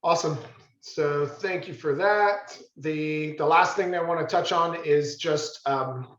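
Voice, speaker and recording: A man's voice: a little high, unhurried, a bit bright. A man leading a real estate team meeting, speaking emphatically and restarting his phrases. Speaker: real estate office leader. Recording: roomy, dull meeting-room sound.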